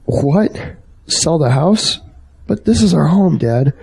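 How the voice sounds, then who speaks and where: An actor playing a devoted young adult son in an audio drama, sincere and plain-spoken, with a gravelly, husky voice. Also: male voice, on the high side, animated, slow pace, a little mellow, full character voice. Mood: dismayed. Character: earnest, devoted young adult son, anxious for his family.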